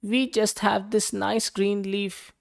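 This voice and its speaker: Tiny, meek storybook ants, acted by a man, high and slow. They plead in a plaintive way. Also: little pitch movement, creaky, full character voice.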